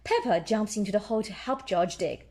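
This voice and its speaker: A woman narrating a children's storybook aloud as a storyteller, in an animated read-aloud style. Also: medium pitch, brisk.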